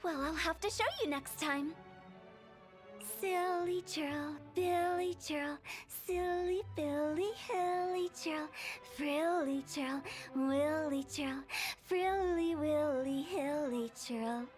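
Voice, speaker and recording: A cheeky young funeral parlor girl from a game, high little voice, slow and sing-songy, faint music behind. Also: darkish tone. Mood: playful. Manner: teasing, sing-song rhymes. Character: mischievous young woman funeral director, playful prankster. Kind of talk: game character voice lines.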